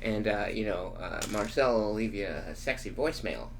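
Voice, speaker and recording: A man's voice: on the low side, animated, normal speed, gravelly, a little hiss or hum. A young man co-hosting a casual podcast chat, rambling and laughing often. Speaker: podcast co-host.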